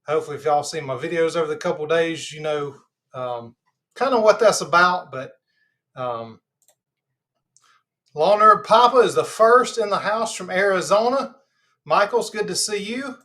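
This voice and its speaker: A Southern man from Georgia, stuffed up in the nose, hosting a live health chat in a relaxed, rambling way with lots of fillers. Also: a little high, normal speed, long pauses. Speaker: host of a live health channel. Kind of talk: casual livestream chat.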